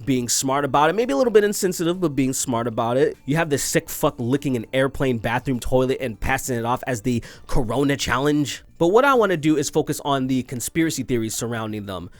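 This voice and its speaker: A man's voice: medium pitch, lively intonation, fairly quick, few pauses, no echo. A young man hosting a commentary show, ranting crudely and sarcastically and laughing at his own jokes. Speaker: commentary video host. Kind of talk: ranting commentary on tweets and news.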